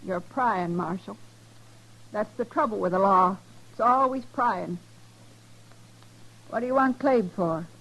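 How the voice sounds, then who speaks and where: A woman acting a bitter, protective middle-aged frontier mother in a 1950s radio western, with terse, flat delivery and rustic grammar. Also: mid-range voice, takes it slowly, pauses often, low-quality recording. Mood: guarded, bitter.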